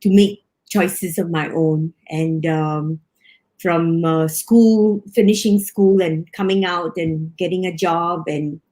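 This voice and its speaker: A woman's voice: fairly low pitch, fairly even intonation, slow pace, darkish tone. A woman in her fifties from Singapore, a hospital director, talking casually and chattily in an interview, with many restarts and frequent laughs.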